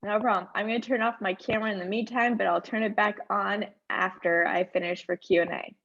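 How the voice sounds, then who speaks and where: Kobieta prowadząca prelekcję przez wideorozmowę, dźwięk lekko przytłumiony. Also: female voice, medium pitch, fairly even intonation, brisk, a bit bright, no room sound, highs cut off. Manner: upbeat, conversational, chatty asides. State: voice strained by allergies. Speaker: conference speaker. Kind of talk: webinar presentation.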